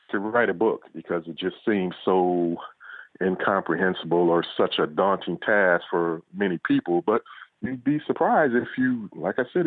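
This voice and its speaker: A man being interviewed as an author over a muffled remote line, talking casually and often halting to search for words. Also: medium pitch, no room sound.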